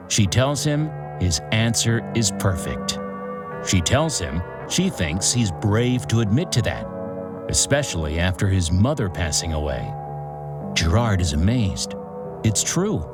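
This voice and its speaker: A theatrical true-crime host, with a low voice that swings widely in pitch. He tells his scripted story in short, deliberate sentences, leaving suspenseful pauses, over a music bed.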